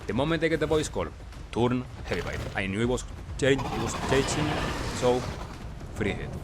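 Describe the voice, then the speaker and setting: A man giving casual gameplay commentary as a recap, talking in short clipped phrases with pauses. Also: medium pitch, animated, noisy background. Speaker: gaming video creator.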